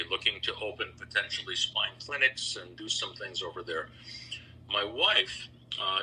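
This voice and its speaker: A man's voice: fairly low pitch, bright, forceful tone, slight background noise, rough recording. A middle-aged man telling anecdotes conversationally as an interview guest, voicing the dialogue he recalls. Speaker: interview guest, businessman and choral bass singer.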